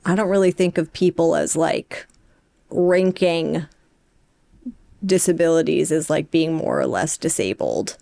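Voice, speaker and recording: Low, creaky-voiced woman, measured. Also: mellow, dry recording, clean studio sound. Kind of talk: casual academic discussion.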